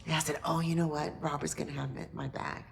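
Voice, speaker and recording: A woman's voice: sits low, level tone, fast talker, gravelly, slight background noise. A woman guest on a podcast casually telling an anecdote, voicing quoted exchanges in a conversational way.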